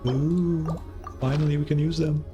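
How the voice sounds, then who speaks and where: A man's voice: a little high, fairly even intonation, moderate pace, dark tone. A man streaming a video game with casual, informal, sweary commentary, game music playing behind him.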